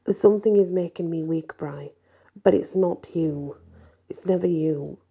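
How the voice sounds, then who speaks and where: A woman puts on a buff male werewolf devoted to his mate in a fantasy storybook. He sounds earnest and protective, with a very dark, breathy, creaky voice. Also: lowish voice, unhurried. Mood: worried, tender. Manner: earnest, reassuring. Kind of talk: acted storybook dialogue.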